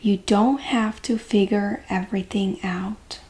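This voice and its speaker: Female meditation guide: very unhurried, calming coach delivery, mid-range pitch, gentle phrasing with long pauses.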